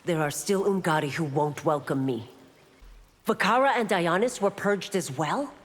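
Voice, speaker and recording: A woman's voice: lowish voice, lively intonation, moderate pace, big-room sound. An actress playing a guarded, hardened female constable in a fighting game, curt and serious. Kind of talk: video game fight banter.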